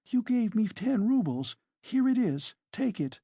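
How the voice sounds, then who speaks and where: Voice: medium pitch, big pitch swings, a little slow, creaky, warm tone, slightly rough, no room sound, pitched above the performer's own voice, heavily acted. A reader voicing a frightened, meek young woman who speaks in a terrified whisper. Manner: whispering in terror.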